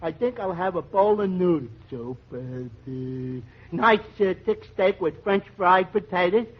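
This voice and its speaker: An actor playing a dim-witted, amiable barfly, hesitant and earnest, in a 1940s radio comedy. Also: male voice, high-pitched, animated, a little slow. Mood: dopey. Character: dim-witted, amiable male tavern regular with muddled logic. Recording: dull vintage radio recording.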